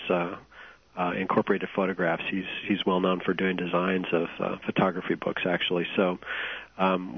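A man with a cold and a hoarse voice, a guest author speaking hesitantly with many ums over a phone line. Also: low voice. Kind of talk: phone-in interview.

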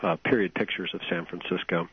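A man with a cold and a hoarse voice, a guest author speaking hesitantly with many ums over a phone line. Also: low voice, steady tone, brisk. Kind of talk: phone-in interview.

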